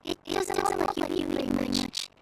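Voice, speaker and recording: A woman's voice: normal speed, natural voice. A woman voicing a timid, considerate young girl in an anime-style game, hesitant and apologetic. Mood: gently concerned. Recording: music behind the voice.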